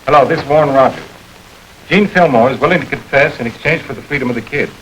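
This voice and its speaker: An actor playing a firm, businesslike district attorney in a 1940s radio drama. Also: male voice, mid-range voice, close to an everyday voice, low-quality recording. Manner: measured, businesslike. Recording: hissy old radio recording.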